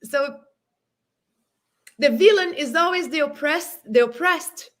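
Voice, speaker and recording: A woman's voice: high voice, slow pace, fairly bright tone. A woman in her thirties from Brazil, with a Brazilian Portuguese accent, whose first language is Portuguese. She speaks earnestly and emotionally on a panel over a video call, searching for words. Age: 30s. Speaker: panel guest. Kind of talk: impassioned panel discussion.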